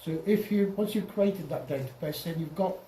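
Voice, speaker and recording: A man giving an informal software tutorial over slides as a club presenter, halting and often repeating or restarting his phrases. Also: on the high side. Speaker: presenter at an amateur radio club. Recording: roomy, distant mic, faint noise.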